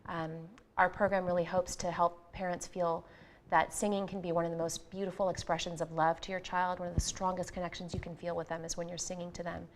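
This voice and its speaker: A woman's voice: on the low side, flat delivery, brisk, slight background noise. A woman guest on an interview show, explaining her music program fluently and earnestly. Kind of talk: interview answers.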